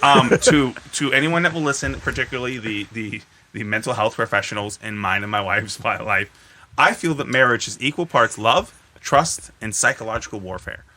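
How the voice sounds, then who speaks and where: Bright, forceful man chatting casually. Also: mid-range voice, moderate pace. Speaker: podcast co-host. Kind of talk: casual profane chat.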